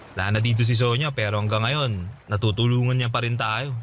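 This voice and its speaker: A man's voice: medium pitch, steady tone, moderate pace, slight background noise, natural voice. A male actor playing an easygoing, forgiving young man comforting his buddy, with casual, reassuring radio drama dialogue.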